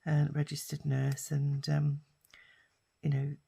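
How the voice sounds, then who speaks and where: An older British woman with a husky, hoarse voice from a lingering cough, chatting casually while she crafts. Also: low-pitched, completely flat delivery, slow pace, mellow. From United Kingdom. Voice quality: husky, raspy. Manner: rambling and chatty, with many fillers. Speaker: craft vlogger.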